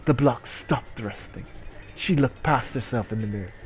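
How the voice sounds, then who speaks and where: A man's voice: medium pitch, moderate pace. A man giving a comic dramatic reading of an erotic short story in a theatrical narrating manner. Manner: theatrical narration breaking into incredulous asides and giggles. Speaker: reader performing a dramatic reading.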